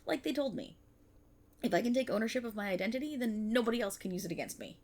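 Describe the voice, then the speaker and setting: Woman playing a high, bubbly young banshee idol. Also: quick pace. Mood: playful, excited. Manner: excited, chatty, effusive, playfully teasing. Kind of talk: ASMR roleplay acting addressed to the listener.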